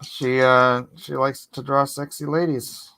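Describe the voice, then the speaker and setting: A man from California, raised in San Jose, chatting casually with dry jokes and halting, reflective stories. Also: medium pitch, level tone, vocal fry, bright, forceful tone, a little hiss or hum, rough recording. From born in Hawaii, raised in San Jose. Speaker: co-host, friend. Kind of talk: casual chat.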